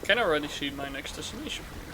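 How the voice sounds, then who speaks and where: A man's voice: fairly high pitch, animated, fast talker, background noise. A man doing casual let's play commentary, dropping brief offhand remarks as he plays. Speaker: gaming streamer. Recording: game music behind the voice.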